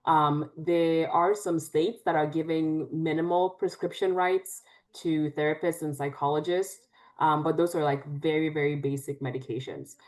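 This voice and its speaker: Low-voiced woman, psychiatrist guest. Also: fairly even intonation, normal speed, darkish tone, rough recording. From Cameroon. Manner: frequent "um" before phrases. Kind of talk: interview answers.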